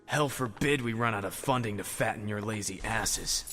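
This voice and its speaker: A man playing a curt, foul-mouthed soldier in an audio drama, deadpan and scornful. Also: fairly low pitch, moderate pace, big-room sound, faint music behind. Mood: dry scorn.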